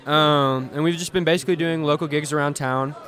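A man's voice: a little high, fairly quick. A seventeen-year-old man from the Memphis area, chatting about his band with lots of ums, outdoors at an event. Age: teens. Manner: relaxed, many um fillers. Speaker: band drummer, guest. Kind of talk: casual interview chat.

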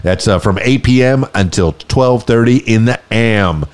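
Hyped-up radio DJ man. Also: measured pace. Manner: playful showman, shifting pitch for emphasis. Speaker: podcast host and DJ. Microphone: studio mic.

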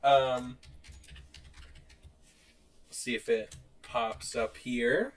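A man co-hosting a podcast in casual chat, animated and quick to laugh. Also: medium pitch. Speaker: podcast co-host. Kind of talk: casual chat, reading out online reviews.

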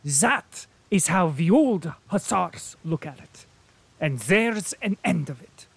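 A man reading aloud voices a stout, patriotic German colonel who declaims loudly and pompously. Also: high voice, expressive, slow, gravelly, breathy edge, no room sound, pitched above the performer's own voice, exaggerated voice. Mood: blustering. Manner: loud, emphatic, pompous declaiming.

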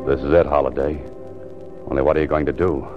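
American noir sleuth, bottom-register voice, terse and self-questioning; old muffled radio drama sound. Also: male voice, a bass voice, steady tone, quick pace. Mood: brooding. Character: hard-boiled male author-detective, brooding, determined, narrating his case. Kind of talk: 1940s radio acting, noir narration. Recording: muffled 1940s radio recording, music behind.

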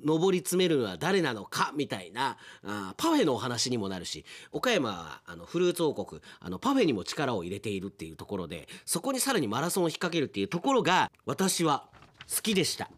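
A man's voice: medium pitch, fairly quick. A man hosting a radio aftertalk, reading listener mail in casual chat and reacting with amused asides. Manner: animated, reacts with amused asides to what is read.

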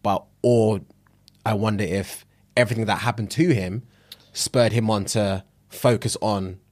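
Low-voiced London man chatting on a podcast. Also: steady tone, unhurried, darkish tone, dry recording. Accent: British, London. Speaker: podcast co-host. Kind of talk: casual conversational chat.

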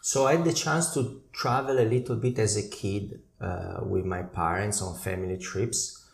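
A man with a very deep, gravelly voice answers interview questions. He talks in a relaxed way, stopping to correct himself. The room is echoey, with a faint hiss behind him. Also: normal speed. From northern Italy. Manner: relaxed, recalls his past with fillers and restarts. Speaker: guest painter.

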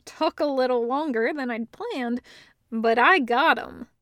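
A woman's voice: high voice, unhurried, a bit bright, no room sound, clean studio sound. Woman voicing an upbeat, rambling guy. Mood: excited. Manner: rambling, casual, hesitant asides. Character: cheerful, eager, casual man bringing snack cakes.

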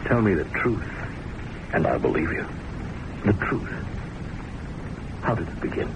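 Deep-voiced, soothing radio doctor. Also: male voice, sing-song, measured pace, vocal fry, heavily acted. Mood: patient, probing. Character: patient, gently probing male doctor. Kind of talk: old-time radio drama acting. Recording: noisy old radio recording.

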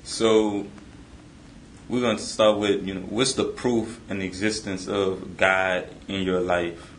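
A man's voice: low voice, slow, echoey room, slight background noise, poor audio. A man of twenty-two, co-hosting a casual conversation about faith and talking loosely with lots of fillers. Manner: relaxed, many "you know" fillers. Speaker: podcast co-host.

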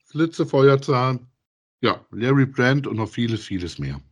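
A middle-aged man co-hosting a casual review podcast, speaking in a halting, hesitant way. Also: medium pitch, lively intonation, breathy edge. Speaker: podcast co-host. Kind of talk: casual review chat about audio dramas.

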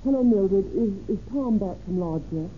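A woman's voice: mid-range voice, lively intonation, moderate pace, close to an everyday voice. An actress in 1930s radio style playing an anxious banker's wife, fretful and urgent about her missing husband. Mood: strained, polite. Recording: hissy, narrow-band old radio recording.

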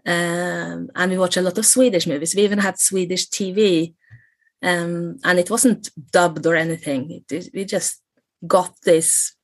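A woman's voice: lowish voice, steady tone, a little slow, no room sound. A middle-aged woman from Norway with a Norwegian accent, speaking English as a guest lecturer in a casual interview, thoughtful and hesitant, often restarting and laughing. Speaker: guest, lecturer on Norse myth.

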